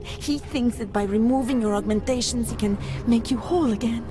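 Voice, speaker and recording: A woman playing a frightened captive in a video game, pleading urgently in a breathy, anxious way. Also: on the high side, brisk, close to an everyday voice. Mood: fearful, urgent. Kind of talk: video game cutscene acting. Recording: loud music underneath. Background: loud music.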